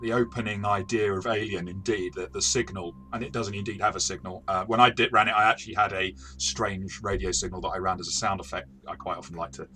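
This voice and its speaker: A man's voice: low voice, fairly even intonation, fast, few pauses, bright, forceful tone, a little hiss or hum. A man co-hosting a review, talking in a casual, discursive and explanatory way. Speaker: co-host and game reviewer.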